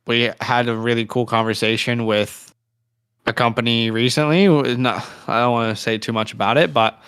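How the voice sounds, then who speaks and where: A man's voice: mid-range voice, steady tone, normal speed, a bit bright, smooth, dry recording. A man in his late twenties co-hosting a casual sports livestream, talking informally with plenty of slang. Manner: informal slang, chummy address. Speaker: co-host of a fantasy football show.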